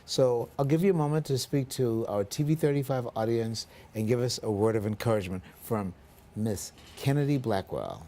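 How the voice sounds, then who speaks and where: A man hosting a local TV interview, speaking in a measured, formal way with frequent ums. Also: mid-range voice, lively intonation, mellow, a little hiss or hum. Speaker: TV interview host.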